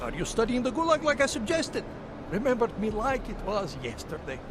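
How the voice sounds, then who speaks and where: A man's voice: high voice, wildly swooping pitch, a little fast, noisy background. An actor playing an earnest, loyal augmented man who guards a rebel group's door in a video game cutscene. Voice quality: earnest, admiring, reflective.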